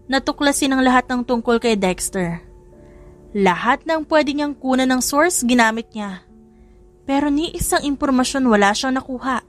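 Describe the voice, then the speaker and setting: A woman reading a scripted love story as a dramatic audiobook narrator. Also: sits high. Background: music.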